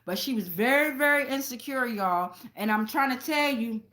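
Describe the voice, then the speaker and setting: A woman's voice: a little high, expressive, moderate pace, pushed and bright. A woman host giving a casual tarot reading with personal stories, speaking bluntly with lots of swearing and checking in with her viewers. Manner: conversational, addresses viewers directly with frequent check-ins. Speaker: tarot reader and channel host.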